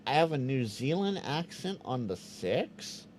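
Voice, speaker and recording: A man's voice: medium pitch, very animated, measured pace, a little mellow, a little hiss or hum. A man hosting a live ham radio Q&A stream, chatting casually with viewers, often restarting his words and sounding out Morse code.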